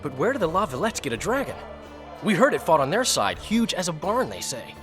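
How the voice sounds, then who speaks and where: An actor playing an excitable young bard in a fantasy video game, talkative and theatrical. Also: male voice, a little high, a little fast. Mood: eager. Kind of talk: cinematic fantasy game acting. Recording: music behind the voice.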